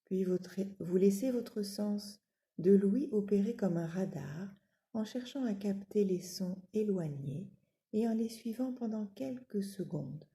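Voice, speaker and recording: A woman guiding a yoga nidra relaxation in a calm, soothing, measured manner, giving gentle spoken instructions with long pauses. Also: mid-range voice.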